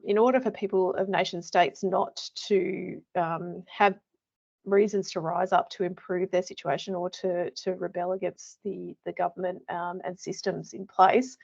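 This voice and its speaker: Middle-aged Australian woman, croaky and gravelly, chatty presenter with lots of fillers, muffled webinar sound. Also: medium pitch. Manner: chatty, many fillers and restarts. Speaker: education manager presenting a webinar. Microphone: computer mic on a video call. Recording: dull lo-fi webinar audio.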